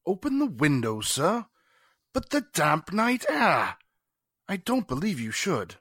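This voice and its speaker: An actor playing a polite, solicitous manservant in an old-time radio drama, speaking formally and hesitantly. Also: male voice, on the high side, huge pitch swings, slow pace, fairly bright tone, husky, no room sound, studio quality, full character voice. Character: deferential, solicitous manservant to a restless master.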